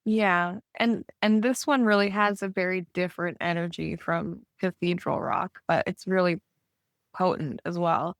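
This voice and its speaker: Woman just chatting, smooth clear voice, informal, says like a lot, dry close sound. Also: fairly low pitch, fairly even intonation, unhurried, no room sound. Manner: chatty, informal, frequent use of "like". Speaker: podcast co-host or guest. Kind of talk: casual conversational talk.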